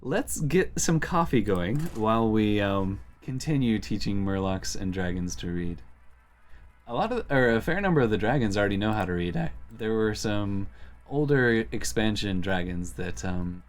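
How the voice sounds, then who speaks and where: A man's voice: on the low side, animated, moderate pace. A man giving casual gameplay commentary, thinking aloud as he plays. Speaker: host playing a card game.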